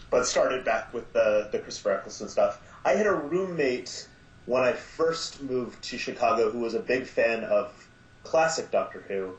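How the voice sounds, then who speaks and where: Halting man in a roomy, muffled room. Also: mid-range voice. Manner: halting, many fillers, lively when retelling. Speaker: guest, audio drama producer. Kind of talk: casual podcast chat. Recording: roomy, dull sound.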